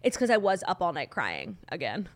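A woman co-hosting a podcast in casual chat, her voice a little hoarse, talking in a gushing rush full of "like". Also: fairly low pitch, wildly swooping pitch. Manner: gushing, fast, heavy use of "like". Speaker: podcast co-host.

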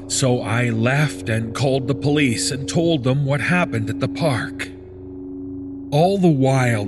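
A man reading a scripted first-person horror story in a measured, deliberate storytelling manner. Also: mid-range voice. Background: background music.

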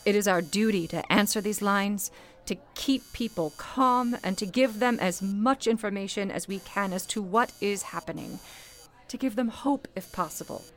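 A woman's voice: medium pitch, lightly acted. A woman playing a composed, motherly switchboard supervisor, formal and authoritative, in an old-time radio play. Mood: earnest, motherly. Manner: formal, measured, authoritative, coaxing.

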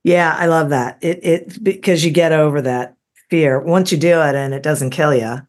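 A woman's voice: low voice, moderate pace, a little mellow. A woman hosting a business podcast, asking rambling questions in a friendly way and reading a scripted outro. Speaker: podcast host.

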